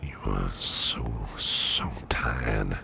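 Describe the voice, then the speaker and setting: A man playing a gentle, protective businessman boss in an ASMR roleplay, murmuring tender reassurance in a breathy near-whisper. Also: very deep voice, extremely slow, exaggerated voice. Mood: soothing, tender. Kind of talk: ASMR roleplay, soothing personal attention.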